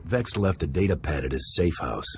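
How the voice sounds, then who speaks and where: A grim, menacing bounty hunter speaks in short, cold lines from a very low, heavy voice. He is terse and threatening. Faint game music sits under him. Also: sing-song, normal speed. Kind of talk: video game dialogue.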